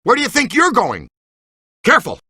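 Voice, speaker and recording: Excitable, bright-voiced ghost hunter guy. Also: extremely high pitch, moderate pace, husky, no echo, clean studio sound, heavily acted. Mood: urgent, shouting. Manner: enthusiastic, slangy, folksy team-leader talk. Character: eager, excitable middle-aged male ghost hunter mentoring a rookie. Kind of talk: video game voice acting.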